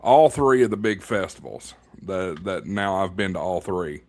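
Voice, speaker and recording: Low-pitched man in folksy banter. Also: expressive, moderate pace, no echo. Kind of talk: casual banter.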